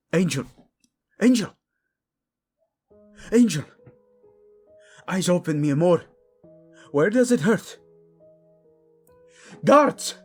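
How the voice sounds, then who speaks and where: A man's voice: high voice, exaggerated voice. A man acting a passionate, protective male royal in a romantic audio drama, devoted to his queen, intimate and commanding, using Spanish endearments. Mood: panicked, desperate. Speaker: voice actor. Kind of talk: romantic audio roleplay drama addressed to the listener.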